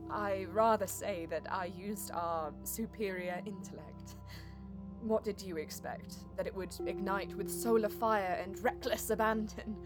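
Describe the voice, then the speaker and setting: A woman playing a proud, quick-witted elven alien heroine, narrating her story in a lofty, poetic manner in an audio drama. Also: mid-range voice, steady tone, lightly acted. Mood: proud, wry. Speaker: first-person narrator and lead character. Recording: music behind the voice.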